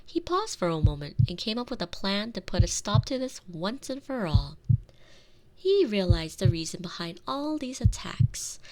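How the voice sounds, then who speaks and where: A woman playing a tender, protective girlfriend in an intimate ASMR roleplay, speaking soothingly and softly into a close binaural microphone with lingering phrases. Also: medium pitch, sing-song, background noise, natural voice. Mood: gentle storytelling. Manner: soothing, breathy near-whispers, lingering drawn-out phrases. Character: tender, protective girlfriend soothing her frightened lover to sleep.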